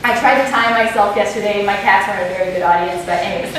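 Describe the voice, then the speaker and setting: A woman's voice: mid-range voice, fairly even intonation, fast. Nervous young French Canadian woman, very echoey. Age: young adult. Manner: nervous, self-conscious, chatty. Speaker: student presenter. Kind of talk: informal classroom presentation. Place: echoey indoor room, recorded from a distance. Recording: very echoey room, distant mic, faint noise.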